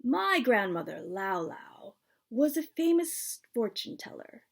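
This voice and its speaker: Female storybook reader, husky and creaky, expressive delivery with soft phrase endings. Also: fairly high pitch, takes it slowly. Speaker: author reading own book. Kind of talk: storybook read-aloud.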